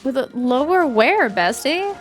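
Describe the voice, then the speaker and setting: A young woman streaming a video game, chatty and talking to herself in quick bursts. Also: sits high, big pitch swings. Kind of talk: casual let's-play commentary. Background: game music.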